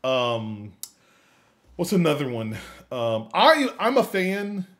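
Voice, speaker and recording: A man co-hosting a podcast, chatting casually and haltingly, often trailing off as he thinks. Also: a little high, very animated, fairly bright tone. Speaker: podcast co-host. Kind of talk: casual chat.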